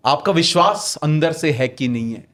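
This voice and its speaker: Animated man explaining with lively pitch. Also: moderate pace. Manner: emphatic, rhetorical questions, mixes in English phrases. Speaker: podcast guest, manifestation author. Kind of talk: explanatory conversational talk.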